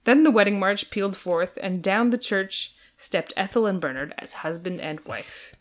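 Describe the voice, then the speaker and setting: A woman's voice: mid-range voice, animated, normal speed. A woman co-hosting a podcast, reading an old novel aloud as an amused, dramatic narrator.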